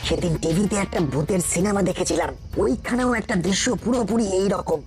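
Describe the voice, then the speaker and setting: A woman's voice: mid-range voice, animated, brisk, a little mellow, faint music behind, close to an everyday voice. Woman voicing an earnest, curious young cartoon boy. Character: Nut, bold curious young village boy sleuth. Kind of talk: cartoon dialogue.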